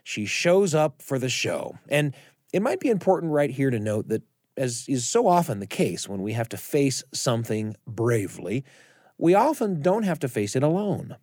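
A man hosts and narrates a true story in a scripted storytelling manner. His delivery rises and falls expressively, with slow dramatic pauses. The recording is close and dry, with no room sound. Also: mid-range voice, big pitch swings, darkish tone, dry recording. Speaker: host narrator.

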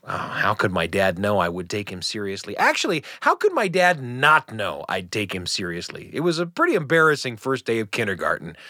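A middle-aged man hosting a radio show, telling a personal family story in a gentle, reflective, earnest way. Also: medium pitch, dry recording, studio quality.

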